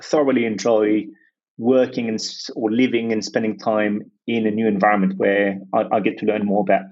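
A man's voice: on the low side, level tone, moderate pace, darkish tone, highs cut off. A man from France, talking in a reflective, hesitant way as a podcast guest, with many restarts and fillers. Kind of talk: reflective conversational interview.

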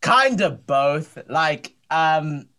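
A man's voice: fairly high pitch, expressive, extremely slow, sharp tone. A man, a comedian co-hosting a podcast, chatting in a laid-back, easily amused way. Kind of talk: casual podcast chat.

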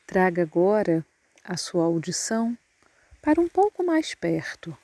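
A Brazilian woman guides a meditation as a yoga nidra instructor. Her voice is dark and relaxed, and she speaks very slowly, leaving long, soothing pauses. The sound is dry and close, with little room around it. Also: lowish voice, no echo. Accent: Brazilian Portuguese.

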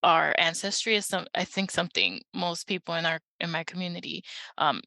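Give me a reflective woman from New York with a bright, gravelly voice, recorded dry. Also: fairly low pitch, no room sound. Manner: personal, reflective, halting. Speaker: library guest. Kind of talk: casual panel talk.

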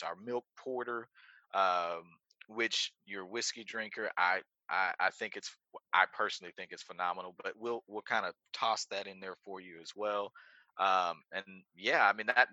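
A man's voice: on the low side, sharp tone, no room sound, dull audio. A man, a brewery co-founder talking casually in an interview with many restarts. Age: 30s. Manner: rambling, many restarts, laughs easily.

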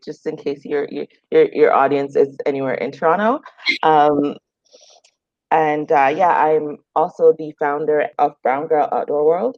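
A woman's voice: sits low, dry recording. A woman from Jamaica, chatting relaxedly in an interview with frequent fillers. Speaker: guest, outdoor group founder. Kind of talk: casual conversational interview answers. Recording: dry, slightly dull remote audio.

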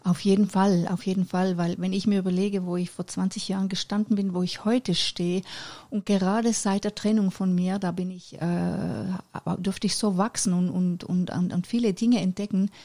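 A middle-aged woman talks as a guest in a relaxed interview. Her voice is warm and mellow, and she answers in an open, reflective way. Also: medium pitch, moderate pace. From Switzerland. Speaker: life coach, guest. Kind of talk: casual interview chat.

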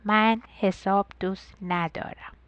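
A woman voicing a sulky, reluctant little mouse child, with a low, quiet, grumbling delivery. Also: steady tone, dry recording, muffled recording, close to an everyday voice.

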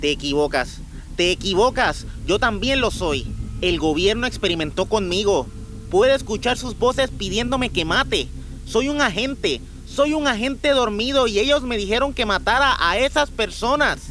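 A man's voice: high-pitched, animated, normal speed, a bit bright, a little hiss or hum, poor audio. An actor playing a desperate, deluded man who believes he is a programmed killer, pleading and repeating himself in a Spanish-language radio drama. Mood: frantic, insistent.

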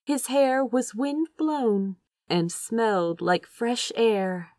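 A woman reading fiction aloud as an expressive narrator, with dramatic, deliberate phrasing and emphasis on key words. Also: a little high, no echo. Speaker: fan fiction reader, narrator.

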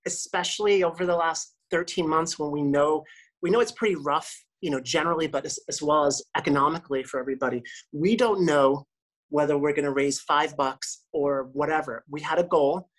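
A woman with a low, husky voice talks at length as a radio host on a podcast. She rambles cheerfully and often restarts her sentences as she tells her stories. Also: poor audio. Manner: chatty, lots of restarts. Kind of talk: casual interview talk.

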